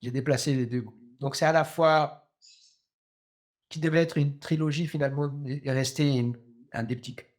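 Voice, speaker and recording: A man's voice: fairly high pitch, fairly even intonation, moderate pace, pauses often. Hesitant man in his fifties. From Djibouti. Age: 50s. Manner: hesitant, many fillers and restarts. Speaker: novelist guest. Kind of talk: thoughtful interview answers.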